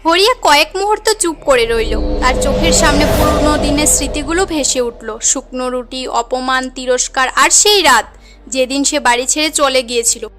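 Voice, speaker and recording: A woman's voice: high-pitched, moderate pace, fairly bright tone, faint music behind. A woman narrating a moral cartoon tale in an earnest, dramatic storytelling manner.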